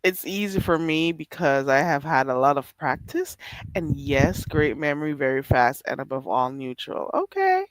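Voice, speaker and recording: A woman talks to her live stream audience late at night with a stuffy, slightly nasal voice. Her pitch swings up and down a lot as she chats and laughs. The sound is dry and close, with no room echo. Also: sing-song, normal speed. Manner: chatty, laughs often, drawls "child". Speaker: live streamer reading chat.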